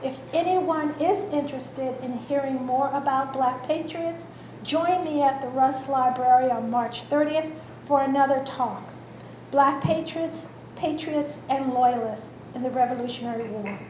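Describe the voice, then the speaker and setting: A woman making announcements as organizer at a public talk, halting and restarting her phrases, in an event room. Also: high-pitched, darkish tone. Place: event room in a historic house. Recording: roomy echo with faint ambient noise.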